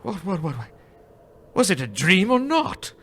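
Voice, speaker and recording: An old miser in a radio play, stammering and excitable, with a high voice that swings widely. He is nervous and sentimental, repeating himself as he goes. The live stage recording has clear background noise. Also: unhurried, heavily acted. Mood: bewildered, fearful.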